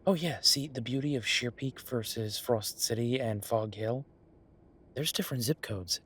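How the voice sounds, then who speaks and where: Audio roleplay chef, played by a man: male, easygoing, conversational and musing, medium pitch with a husky edge. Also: normal speed, fairly bright tone. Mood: explaining, persuasive. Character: easygoing male chef planning restaurant business with his partner. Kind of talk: one-sided roleplay acting, casual chat.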